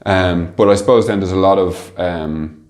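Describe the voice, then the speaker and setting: Deep-voiced man, halting speaker. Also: darkish tone. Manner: hesitant, halting, thinking aloud. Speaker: interview guest, team manager. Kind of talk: conversational interview answers.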